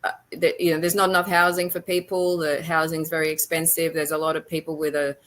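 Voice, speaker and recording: A woman with an Australian accent speaking as a podcast guest, chatting casually in halting, reflective phrases. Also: low-pitched, little pitch movement, a bit bright, slight background noise. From rural Australia. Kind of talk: casual interview chat.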